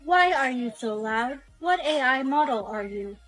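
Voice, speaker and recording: A woman's voice: high voice, lively intonation, measured pace, fairly bright tone, faint music behind, exaggerated voice. A woman voicing a naive, curious robot girl in a short skit, plain, literal and questioning. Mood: restless. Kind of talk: short comedic skit acting.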